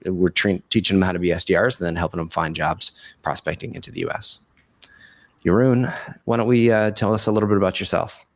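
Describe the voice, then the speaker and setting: A man hosting a webinar podcast in a casual, chummy way, his voice raspy from a cold. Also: low-pitched, fairly even intonation, brisk, dry recording. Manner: informal, chummy address. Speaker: podcast host.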